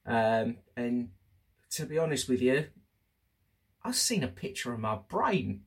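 A man talking candidly and casually as host of a personal diary show, chatty but halting, pausing for emphasis. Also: mid-range voice, very animated, husky. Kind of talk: casual spoken diary update.

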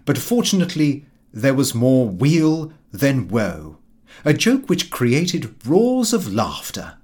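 Storybook read-out, by a male narrator: florid club-news report, jovially pompous, measured, husky, with lively pitch swings. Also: full character voice.

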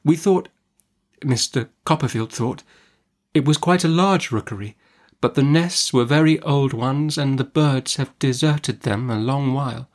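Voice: mid-range voice, unhurried, voice pushed up, natural voice. A narrator voicing a meek young mother-to-be in an audiobook, faltering, faint and innocent.

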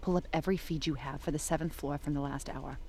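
An actress playing a tough, wry police detective in an audio drama, blunt, dry and commanding. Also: female voice, low voice, steady tone, fast, warm tone. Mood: terse, commanding. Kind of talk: scripted audio drama acting.

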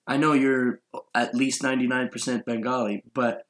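A man's voice: medium pitch, little pitch movement, normal speed, fairly bright tone. Man chatting casually in an echoey room. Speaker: podcast host. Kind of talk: casual chat. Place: room in an apartment. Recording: roomy, echoey sound.

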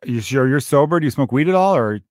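A man's voice: medium pitch, expressive, fast talker, no room sound, clean studio sound. A man hosting a podcast interview, asking questions in a rambling, joking, self-deprecating way. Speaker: podcast host. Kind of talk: casual interview chat.

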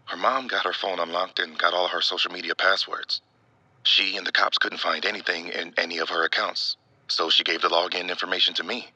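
A man's voice: sits low, bright, forceful tone, no room sound, full character voice. A young man playing an earnest, easygoing friend on a call in an audio drama, talking casually with slang. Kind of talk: naturalistic audio drama acting.

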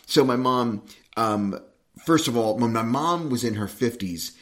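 A man's voice: mid-range voice, a little slow. A man co-hosting a podcast in casual chat, telling stories with jokes and teasing. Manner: animated, joking storyteller. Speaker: podcast co-host.

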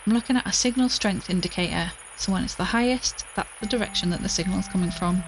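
A woman's voice: medium pitch, quick pace, over music, lightly acted. An actress in an audio drama playing an exhausted, anxious but determined woman who is recording a spoken log. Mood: tired, uneasy. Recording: clearly noisy outdoor recording with crickets.

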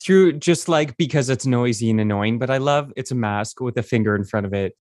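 A man's voice: mid-range voice, brisk, a little mellow. A man hosting a podcast, playful and teasing, with theatrical asides. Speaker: podcast host. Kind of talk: podcast intro and casual interview.

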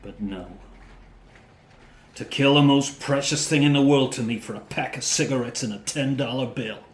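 An actor playing a middle-aged father grieving his murdered daughter, speaking in a measured, stern, clipped way. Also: medium pitch, close to an everyday voice. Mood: grim. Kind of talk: dramatic dialogue acting. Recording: roomy echo.

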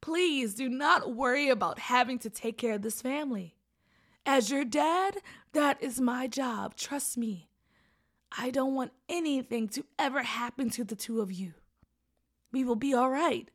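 A woman's voice: high voice, a little slow, fairly bright tone, exaggerated voice. A woman voicing a loving, worried father in a story, tender and pleading, drawing out the words. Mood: reassuring. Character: loving, worried, ailing father of two children.

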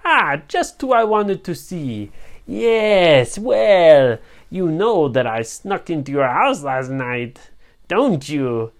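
A woman's voice: low voice, big pitch swings, slow pace, full character voice. Woman putting on a gloating pirate captain. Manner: gloating, theatrical villain voice. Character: sneaky scheming male pirate captain.

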